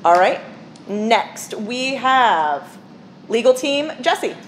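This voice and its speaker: A woman mayor chairing a village council meeting, moving briskly through the agenda in a casual way with many fillers. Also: on the high side, expressive, a little hiss or hum.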